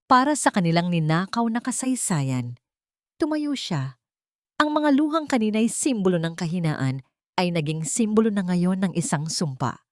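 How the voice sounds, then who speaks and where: A woman narrating a dramatic scripted story in a measured, solemn, deliberate manner. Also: mid-range voice, a little mellow, dry recording, studio quality.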